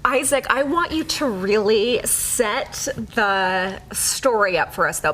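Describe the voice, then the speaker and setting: A woman's voice: a little high, a bit bright, a little hiss or hum. Polished woman introducing a TV segment. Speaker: morning show co-host. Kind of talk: live TV interview.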